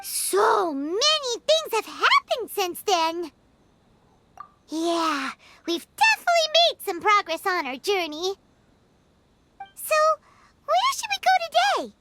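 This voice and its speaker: A woman voicing a small, chirpy, childlike fairy companion in an anime video game, squeaky, excitable and easily indignant. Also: slow pace, pauses often, very noisy, full character voice. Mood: cheerful. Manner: exclamatory, speaks of self in third person.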